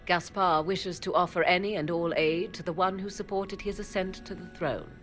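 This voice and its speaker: A woman's voice: fairly low pitch, fairly even intonation, moderate pace, a bit bright, soft background music, lightly acted. A woman playing a sardonic, aloof mage. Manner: formal, archaic phrasing with dry, teasing asides. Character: aloof, sardonic woman mage who deals in forbidden arts. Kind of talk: video game cutscene acting.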